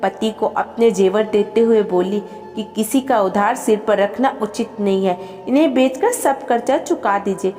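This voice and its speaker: A woman's voice: mid-range voice, unhurried, warm tone, big-room sound, faint music behind. A woman narrating a scripted family story as a storyteller.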